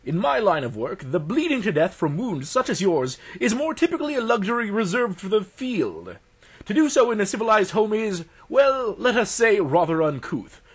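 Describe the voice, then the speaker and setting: A man voicing a stuffy, pompous Edwardian gentleman adventurer narrating a pulp audiobook, formal and theatrical in manner. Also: high voice, very animated, normal speed, husky, pitched above the performer's own voice, full character voice. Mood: dry, affronted.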